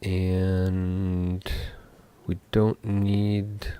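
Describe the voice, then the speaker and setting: A young man from Seattle, a game developer talking casually while coding live, thinking aloud with many pauses. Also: deep voice, completely flat delivery, mellow, smooth. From Seattle, USA.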